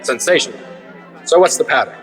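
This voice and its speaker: A man's voice: a little high, a little fast, fairly bright tone, big-room sound, faint music behind, lightly acted. An actor playing a brash, sarcastic, wisecracking male reporter in an audio drama. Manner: sarcastic, snappy.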